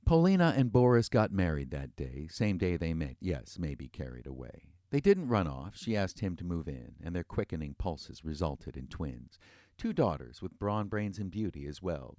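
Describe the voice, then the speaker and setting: Deep-voiced man telling a rhymed fairy tale. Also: mellow, dry recording, studio quality. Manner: rhythmic verse delivery, wry and tongue-in-cheek. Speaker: storyteller.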